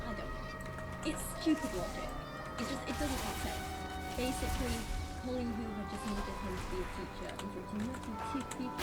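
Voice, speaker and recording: A woman giving casual, opinionated book commentary in a conversational, exasperated way, now and then reading aloud. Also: a little high. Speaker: book reviewer. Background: loud video game music and sounds.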